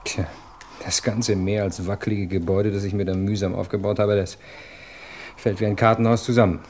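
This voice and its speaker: A man voicing a weary investigator in a German radio crime play, talking over a case that falls apart. He sounds resigned and thoughtful, in a deep voice. A faint hiss lies under it. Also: level tone, fairly quick, a little mellow, close to an everyday voice. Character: weary male investigator, doubting his case. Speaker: investigating lawyer.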